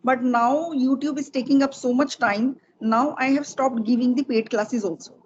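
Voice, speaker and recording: A woman's voice: sits high, fairly even intonation, moderate pace. Middle-aged Indian woman, dull lo-fi recording. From Delhi, India. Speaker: guest, spoken English teacher. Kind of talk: casual conversational interview in English. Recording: dull lo-fi sound with faint noise.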